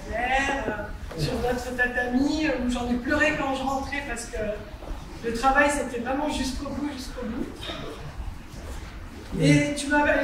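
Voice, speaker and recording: Woman, sports official, hesitant tribute with fillers; distant, very reverberant, noisy recording. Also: high voice. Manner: hesitant, many fillers, heartfelt. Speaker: judo committee president. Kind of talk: personal tribute speech. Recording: echoey hall, distant mic, audible noise.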